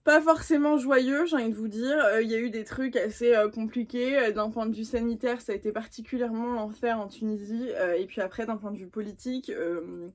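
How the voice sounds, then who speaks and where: A woman's voice: a little high, fairly even intonation, a little fast, few pauses. A young adult woman from France, chatting informally and using slang in a casual makeup vlog. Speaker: vlogger.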